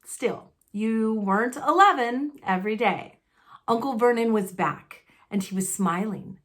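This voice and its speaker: A woman narrating a children's novel aloud, expressively, with deliberate and dramatic phrasing. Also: on the high side, expressive. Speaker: storybook narrator.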